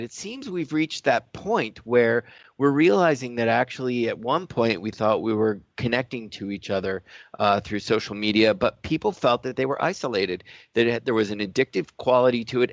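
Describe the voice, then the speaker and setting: A man's voice: medium pitch, no echo. Male talk radio co-host, mid-range voice, reflective and conversational, searching for words, dry slightly lo-fi recording.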